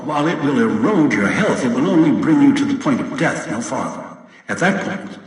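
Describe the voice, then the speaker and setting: An actor playing a scheming older male villain in a video game, speaking in a cold, measured, menacing way. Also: medium pitch, echoey room, long reverb tail, lightly acted, low-quality recording. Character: old male scheming manipulative elder villain.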